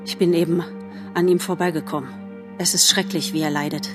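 A woman's voice: on the low side, fairly even intonation, a little fast, music in the background, close to an everyday voice. A woman voicing a shy, limping male deer in an audiobook, subdued and uneasy. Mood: subdued dismay. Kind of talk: audiobook character voice.

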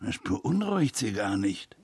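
An actor playing a sardonic police detective who asks pointed, insinuating questions in a historical radio drama. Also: male voice, lowish voice, sing-song, a little slow, a little mellow, slightly rough, full character voice. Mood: insinuating, pressing. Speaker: police investigator.

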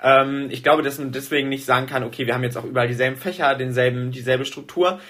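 Fast-talking husky young man. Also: mid-range voice, steady tone, fairly bright tone. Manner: fast, hesitant with many fillers and restarts. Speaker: guest, student representative. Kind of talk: interview answers.

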